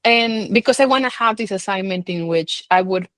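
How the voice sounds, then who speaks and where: A woman's voice: medium pitch, normal speed, fairly bright tone, dry recording. A woman speaking as a scholar being interviewed, thinking aloud in an informal, hesitant, conversational way. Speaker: film scholar and guest.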